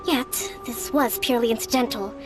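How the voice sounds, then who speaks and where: A young female strategist voiced by a woman speaks in a high, composed voice with formal, measured authority. She is gentle yet firm, a game character heard over clear background music. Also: fairly even intonation, close to an everyday voice. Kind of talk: video game voice acting. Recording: music under the voice.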